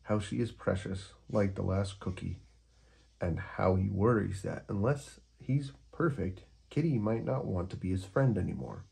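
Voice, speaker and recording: A man reading a children's storybook aloud as an expressive narrator. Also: deep voice, moderate pace, creaky, slightly rough, low-quality recording. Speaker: storyteller, host of a read-aloud show.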